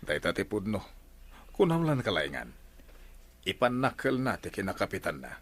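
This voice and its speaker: Flustered village captain, Ilocano accent, swooping intonation, stammering, evasive, radio comedy drama. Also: male voice, deep voice, wildly swooping pitch, moderate pace, exaggerated voice. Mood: rattled.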